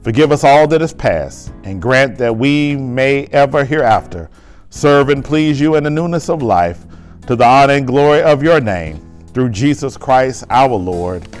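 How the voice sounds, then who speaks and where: Male pastor, middle-pitched, fervent sermon delivery and solemn liturgy, lapel mic in a church, soft music under. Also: lively intonation, a little slow. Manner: fervent, emphatic preaching and solemn prayer. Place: church sanctuary, indoors.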